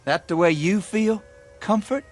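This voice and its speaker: A man's voice: high-pitched, measured pace. An actor playing a plain-spoken, indignant young country man in a radio drama, with a folksy rural American accent. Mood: hurt, coaxing. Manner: folksy, incredulous.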